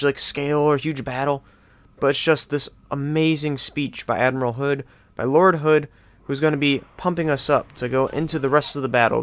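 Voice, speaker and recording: A young man hosting casual video game countdown commentary in an enthusiastic, effusive way. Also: medium pitch. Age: young adult. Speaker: video game channel host.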